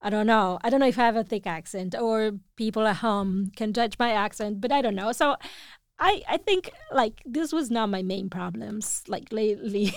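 A woman in her thirties with an Italian accent, whose first language is Italian, telling a story in a casual conversation, animated and laughing often. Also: a little high, no room sound, studio quality. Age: 30s.

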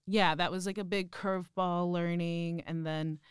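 A woman's voice: fairly low pitch, steady tone, normal speed, clear, resonant tone, no room sound, clean studio sound. A young woman with a Mexican Spanish accent, speaking Spanish natively and chatting casually as an interviewee, full of "like" and "um". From central Mexico. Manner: rambling, lots of "like" and "um". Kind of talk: casual conversation.

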